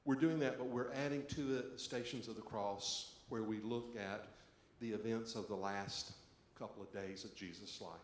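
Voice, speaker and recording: Low-voiced man leading an echoey church service. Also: fairly even intonation, normal speed, hardly any pauses. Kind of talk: service introduction and responsive scripture reading. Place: church sanctuary. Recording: echoey church, faint background noise.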